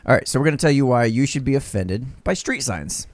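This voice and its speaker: A man's voice: medium pitch, expressive, fairly quick, dry recording. A man in his thirties hosting a podcast from a small home studio, joking in a playful, mock-serious way on a stand mic. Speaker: podcast host. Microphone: studio mic on a stand, wearing headphones.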